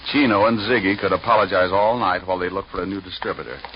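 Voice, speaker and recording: An actor playing a hard-boiled private detective, dry, sardonic and slangy, in 1940s radio noir style. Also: male voice, sits low, fast, a little hiss or hum, natural voice. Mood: wry, narrating. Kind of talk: first-person noir narration, 1940s radio acting. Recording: muffled vintage radio recording with music.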